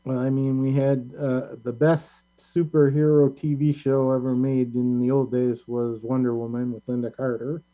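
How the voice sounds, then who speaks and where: Man, talk show host, casual, opinionated, halting delivery, mid-pitched voice, muffled low-fidelity recording. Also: level tone. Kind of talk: casual pop culture discussion. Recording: band-limited, dull lo-fi sound.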